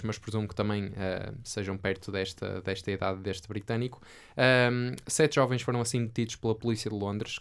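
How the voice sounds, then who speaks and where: A man's voice: lowish voice, clean tone. A young man from Portugal with a European Portuguese accent, co-hosting a casual tech podcast, hesitant with fillers as he reads the news. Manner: hesitant, many fillers and restarts while reading. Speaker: podcast co-host.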